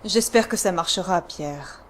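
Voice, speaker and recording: Female adventurer, played by a woman for a game dub: assertive, menacing, dry delivery, slightly low register. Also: a little slow, close to an everyday voice. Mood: quietly threatening. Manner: cool, assertive, dryly threatening. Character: cool, menacing female adventurer investigating a murder. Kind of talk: video game fan dub acting. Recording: faint background noise.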